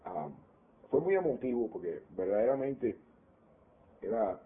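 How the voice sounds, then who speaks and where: An older man with a Cuban Spanish accent, recalling his school days in an oral history interview, animated and trailing off into quieter asides. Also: medium pitch, a little slow. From Cuba. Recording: tinny phone-like audio.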